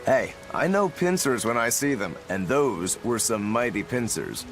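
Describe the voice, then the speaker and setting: Um ator interpretando um espadachim descontraído e alegre, de fala franca e brincalhona. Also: male voice, mid-range voice, big pitch swings, a little slow, fairly bright tone, soft background music. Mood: casual. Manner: casual, blunt, playful. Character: laid-back cheerful male swordsman. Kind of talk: game dub acting.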